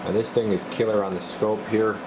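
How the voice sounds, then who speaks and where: A man working as a CB radio technician, talking casually at his workbench with easygoing pauses and frequent fillers. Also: lowish voice. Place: repair shop workbench. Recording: loud steady fan hum behind.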